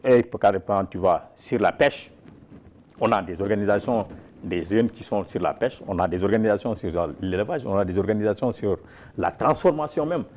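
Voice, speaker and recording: A man's voice: lowish voice, expressive, normal speed, darkish tone. A man who leads a farmers' organization, answering interview questions emphatically, with frequent restarts.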